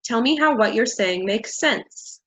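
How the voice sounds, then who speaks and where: A woman's voice: a little high, expressive, measured pace. An actress in a staged reading playing a domineering, explosive woman who interrogates with a commanding, clipped delivery. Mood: stern, interrogating.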